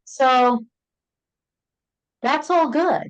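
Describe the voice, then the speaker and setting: A woman's voice: sits high, very slow. A middle-aged woman with a Brooklyn accent, a co-host and end-of-life doula, speaking earnestly in a casual conversation and repeating words as she goes. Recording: dull lo-fi audio.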